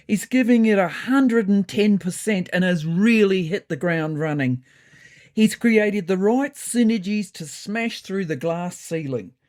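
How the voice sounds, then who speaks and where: A woman's voice: fairly low pitch, a little mellow, pitched above the performer's own voice, natural voice. Storybook tomcat spin doctor, played by a woman: bluff, corporate, self-assured, with swooping emphatic delivery. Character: jargon-spouting, self-assured male cat political aide. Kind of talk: audiobook storytelling.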